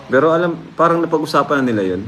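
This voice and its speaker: A man's voice: fairly high pitch, lively intonation, very fast. A man hosting a reaction video, commenting casually to his viewers and repeating phrases for emphasis. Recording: clear background noise.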